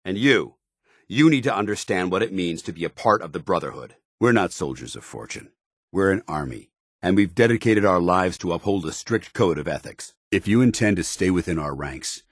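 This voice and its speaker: Deep-voiced American military commander. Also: male voice, slightly rough, no room sound, studio quality, exaggerated voice. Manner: formal, measured military diction. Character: stern, earnest, duty-bound male military commander. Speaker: voice actor in a video game. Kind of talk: video game companion dialogue.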